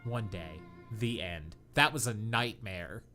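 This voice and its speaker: Man, podcast co-host, comedic reading, deadpan, bright tone, faint music behind. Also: lowish voice, slow. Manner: reads deadpan, breaks into laughter.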